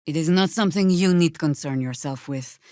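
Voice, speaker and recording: A woman's voice: low voice, a little fast, a little mellow, no room sound, lightly acted. A woman playing an older, seasoned druid warrior in a fantasy video game, wry, dry and commanding in manner. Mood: grave, knowing.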